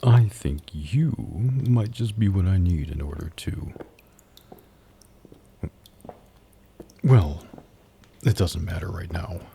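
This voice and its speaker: A man acting out a smug, flirty male demon who taunts a captive in a husky, teasing, menacing drawl for an ASMR roleplay. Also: low voice, mellow, a little hiss or hum, heavily acted. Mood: coaxing, menacing. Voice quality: husky, breathy. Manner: slow, teasing, menacing drawl with dramatic pauses.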